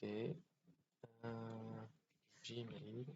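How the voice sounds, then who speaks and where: A man from Poland hosting a casual screen-recorded tutorial, thinking aloud in a halting way as he clicks through steps. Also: on the low side, flat delivery, darkish tone. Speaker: tutorial host. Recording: dull lo-fi mic with faint noise.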